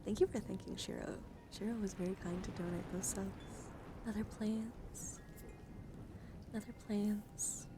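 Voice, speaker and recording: A woman hosting an ASMR livestream, speaking softly and casually at a close microphone in an unhurried show-and-tell style with murmured, whispery asides. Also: medium pitch, fairly even intonation, over music. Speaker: livestream host. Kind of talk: soft-spoken ASMR card show-and-tell.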